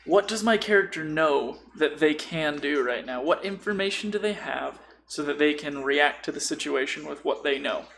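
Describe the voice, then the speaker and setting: Man, slightly high voice, creaky fry, casual podcast chat, blunt humour, faint noise. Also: animated, normal speed, hardly any pauses, a bit bright. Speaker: podcast speaker. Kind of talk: casual chat about tabletop role-playing.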